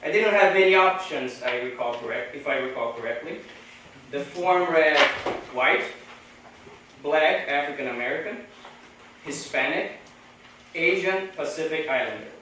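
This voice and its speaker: Male academic speaker, Brazilian Portuguese accent, measured lecture, slightly high voice, distant mic in a very reverberant hall. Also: expressive, sharp tone, slight background noise. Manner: measured, deliberate delivery with pauses. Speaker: conference speaker. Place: large echoey lecture hall. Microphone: podium microphone.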